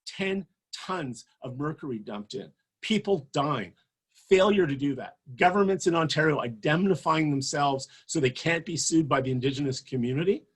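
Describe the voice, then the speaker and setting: A man's voice: a little high, expressive, normal speed, breathy edge. A man in his sixties speaking passionately and emphatically as a political candidate at a campaign event. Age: 60s. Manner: passionate, emphatic, rising to forceful peaks.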